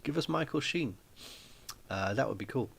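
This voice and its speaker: A man hosting a live stream from his small flat, chatting casually and rambling with lots of ums and restarts. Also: medium pitch, moderate pace, gravelly, slightly rough. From Wales.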